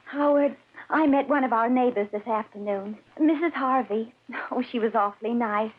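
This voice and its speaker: An actress playing a doting, worried wife in an old radio drama, with a fussing, solicitous manner. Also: female voice, fairly high pitch, moderate pace, natural voice. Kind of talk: 1940s radio acting. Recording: thin phone-like old radio recording.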